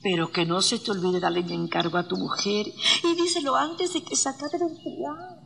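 A woman's voice: medium pitch, normal speed, gravelly, fairly bright tone. A woman playing a pious, generous village shopkeeper in a radio drama, motherly and sympathetic. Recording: reverberant with birdsong and faint noise.

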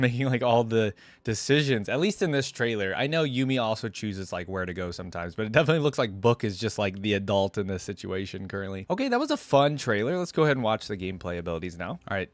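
A man's voice: lowish voice, big pitch swings, fast talker, few pauses, no room sound. A young man hosting casual reaction commentary, close on a desk microphone. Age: young adult. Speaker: reaction video host. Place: small indoor room at a desk. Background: music from the watched videos.

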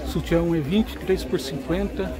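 A man's voice: a little high, moderate pace. A man with a Brazilian accent giving casual walk-through commentary as a vlogger. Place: outdoor covered street market. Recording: busy street crowd behind the voice.

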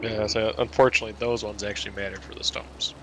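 A man's voice: lowish voice, flat delivery, fast talker, a little hiss or hum. A man playing along on a gaming stream, easygoing and matter-of-fact in casual chat. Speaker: co-player on stream.

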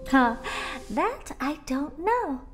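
A woman's voice: sits high, drawn-out words, soft background music, exaggerated voice. A woman playing a haughty, blunt young female genius in a video game, flippant and condescending. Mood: aloof, matter-of-fact. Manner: flippant, condescending, theatrically expressive. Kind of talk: video game character dialogue.

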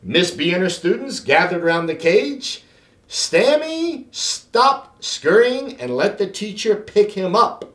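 A middle-aged man reads aloud to children in an expressive, theatrical way with deliberate phrasing. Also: sits high. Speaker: children's storybook reader. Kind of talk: animated read-aloud for children. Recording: roomy, echoing sound.

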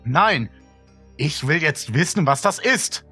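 A man's voice: on the high side, animated, takes it slowly, bright, forceful tone, husky, no room sound, soft background music, voice pushed up, exaggerated voice. Man acting a desperate, agitated young man, raised voice. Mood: agitated, pleading. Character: troubled young man, desperate, pleading, frightened victim.

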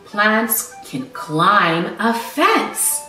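Woman teacher doing storytime for little kids, really swoopy slow delivery, echoey room. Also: mid-range voice, distant mic, soft background music. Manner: expressive, exaggerated emphasis, teacherly. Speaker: children's teacher reading a storybook aloud. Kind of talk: storytime read-aloud with explanations for young children. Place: small living room at home, indoors. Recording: roomy echo, away from the mic.

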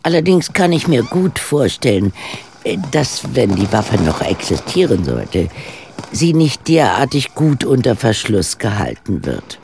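An actor playing a composed, dryly witty male amateur sleuth in a German detective radio play, with a measured, slightly formal delivery. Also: medium pitch, sing-song, gravelly, a little mellow, slight background noise. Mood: composed, deductive.

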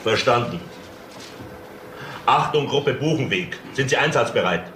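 Terse police commander, noisy background. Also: male voice, medium pitch, animated, a little slow, sharp tone. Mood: commanding. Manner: clipped, commanding. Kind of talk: German crime radio play acting.

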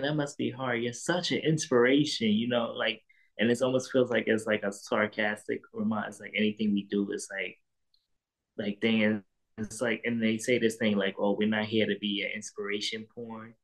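New york guy in his 30s on a video call, chatting casually, kinda halting, repeats himself. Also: on the low side, creaky, poor audio. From Harlem, New York, United States. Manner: informal, halting, repeats phrases. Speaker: interview guest, photographer. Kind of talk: casual personal storytelling. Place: indoor room at home, video call.

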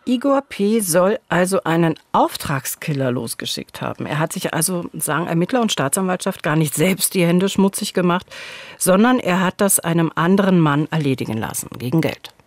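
A woman in her forties co-hosting true crime, telling it conversationally but with suspense and emphatic pauses, on a studio microphone. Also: lowish voice, lively intonation, no room sound. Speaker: true-crime podcast co-host. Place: dark radio studio. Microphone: studio microphone on boom arm, headset monitoring.

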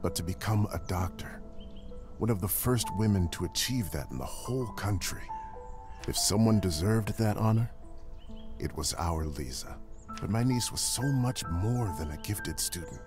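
A man acts as a middle-aged uncle mourning his beloved niece in a game drama. The voice is very low, with measured, solemn oratory full of tender pride. Music plays behind the speech. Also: expressive, close to an everyday voice. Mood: fond, proud. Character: grieving proud uncle giving a niece's eulogy.

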